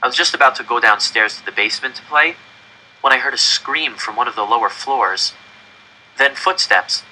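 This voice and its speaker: A man's voice: mid-range voice, normal speed, bright, forceful tone, slight background noise, poor audio. A man reading a first-person story aloud with dramatic, tense delivery. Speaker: audiobook reader.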